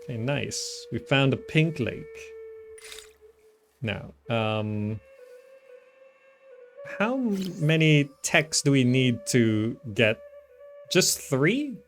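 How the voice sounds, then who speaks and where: A man's voice: mid-range voice, very animated, drawn-out words, long pauses. A man giving casual gameplay commentary, thinking aloud and often trailing off as he muses to himself. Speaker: gaming video host. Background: background game music.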